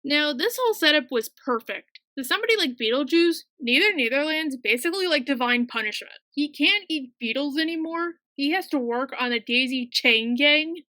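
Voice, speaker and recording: A woman's voice: sits high, expressive, brisk, few pauses, pushed and bright, no room sound. A young woman narrating a casual scripted video review, chatty and full of wry asides.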